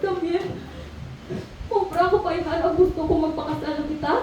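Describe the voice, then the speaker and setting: A woman's voice: extremely high pitch, fairly even intonation, moderate pace, slight background noise. A woman playing a young, emotional girlfriend, hurt and jealous, in an amateur radio drama. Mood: worried, earnest. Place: school audio-visual room. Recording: very echoey hall, distant mic, loud music behind.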